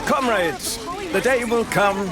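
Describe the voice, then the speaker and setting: Distant, high-pitched Soviet orator. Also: male voice, takes it slowly. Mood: proud, solemn. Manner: solemn, declamatory. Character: proud utopian Soviet propaganda orator. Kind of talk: propaganda speech in a video game. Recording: distant voice under loud music.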